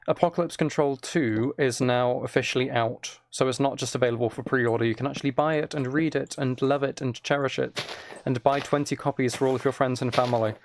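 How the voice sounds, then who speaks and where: A man's voice: medium pitch, a little hiss or hum. A man chatting casually and teasingly to his viewers while playing a game on a livestream.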